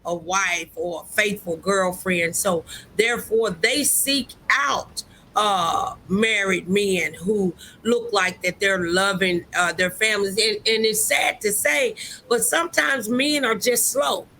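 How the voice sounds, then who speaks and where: A woman hosting a conversational relationship talk show, speaking in a halting, deliberate way with drawn-out phrases. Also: mid-range voice, pushed and bright, slight background noise, poor audio.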